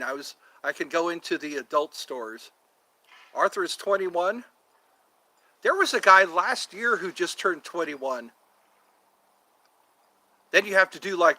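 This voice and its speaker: A man in his fifties chats loosely with his livestream audience, wandering from one topic to the next. His voice sits a little high and has a bright, forceful edge. He often breaks into quick put-on voices between his asides. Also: dry recording, poor audio. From United States. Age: 50s. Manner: chatty and meandering, often breaks into quoted voices. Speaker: livestream host. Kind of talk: rambling casual livestream chat with viewers.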